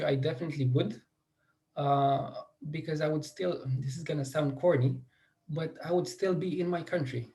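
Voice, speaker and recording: A young man speaks in a somewhat high, mellow voice. He answers interview questions earnestly and thoughtfully, pausing on fillers and restarting his sentences. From Romania. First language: Romanian. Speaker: guest.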